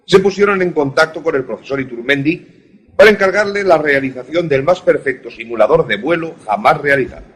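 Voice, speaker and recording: A man's voice: mid-range voice, a bit bright, slightly rough. A man playing a stern, authoritative air force colonel in a suspense radio drama, speaking formally and in clipped commands.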